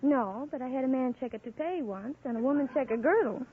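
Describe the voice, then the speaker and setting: A woman's voice: high voice, a little fast, very noisy. A young woman answering as a quiz contestant in short, matter-of-fact, firm replies. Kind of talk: brief interview answers. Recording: tinny old radio broadcast.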